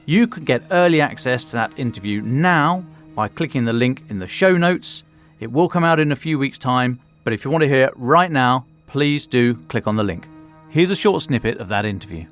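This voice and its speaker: A man's voice: medium pitch, animated, moderate pace, fairly bright tone, soft background music. A British man presenting podcast announcements as the host. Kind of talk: scripted podcast narration and announcements.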